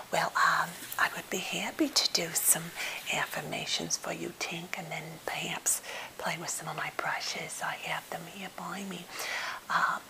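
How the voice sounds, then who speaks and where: A woman playing an anxious, well-meaning, slightly odd therapist held captive, in a breathy whisper with a halting, fussily polite manner, for an ASMR role play. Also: fairly low pitch, sharp tone, full character voice. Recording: hissy, noisy home recording.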